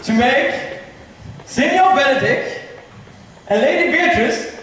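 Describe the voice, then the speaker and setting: A young-sounding male actor as a high-voiced scheming prince, echoey hall. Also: animated, slow, a bit bright, heavily acted. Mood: scheming, exuberant. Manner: theatrical, declamatory. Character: genial, scheming prince playing matchmaker among his friends. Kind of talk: amateur stage acting, school drama club. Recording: echoey hall from far off, faint noise.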